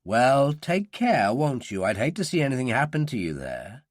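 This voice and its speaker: A man's voice: medium pitch, very animated, normal speed, dry recording, lightly acted. A man voicing a suave, sly upper-class American man with a dry, polite manner. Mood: smoothly polite.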